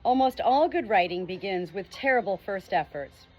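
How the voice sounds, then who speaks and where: A woman reading a nonfiction book chapter aloud as an audiobook, with earnest, expressive emphasis. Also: mid-range voice, moderate pace, highs cut off, soft background music. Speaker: audiobook reader.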